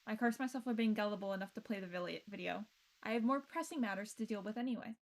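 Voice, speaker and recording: A woman streamer reading a visual novel aloud and adding casual, playful, expressive commentary. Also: medium pitch, fast. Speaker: game streamer.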